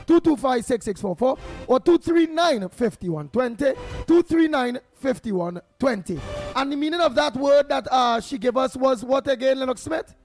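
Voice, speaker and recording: A man hosting a radio call-in show, with a hoarse voice, bantering and reading out phone numbers. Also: extremely high pitch, expressive, moderate pace, hardly any pauses, a little mellow, soft background music. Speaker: radio host. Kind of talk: casual chat.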